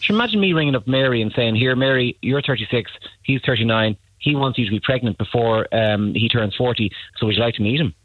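A man's voice: mid-range voice, lively intonation, fast, no room sound. A man giving blunt, emphatic dating advice on a radio call-in, often repeating himself. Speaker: matchmaking agency guest. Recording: tinny phone line, dry.

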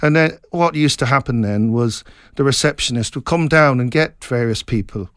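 Middle-aged male author from Scotland, mid-pitched, reflective and rambling interviewee. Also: fairly bright tone, clean tone, dry recording, clean studio sound. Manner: reflective, meandering, halting with many restarts. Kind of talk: casual interview chat.

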